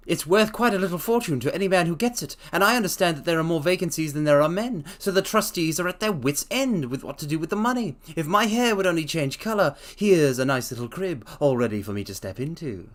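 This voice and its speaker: A man's voice: fairly high pitch, lively intonation, fairly quick, few pauses, voice pushed up, lightly acted. A man voicing an eager young shop assistant who talks his employer into something in a coaxing way.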